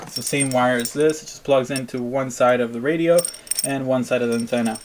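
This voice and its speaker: A man's voice: medium pitch, steady tone, fairly quick. A man talking casually to his viewers in an unboxing vlog, explaining things in an informal, plain-spoken way. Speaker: vlogger. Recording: clear background noise, crinkling packaging.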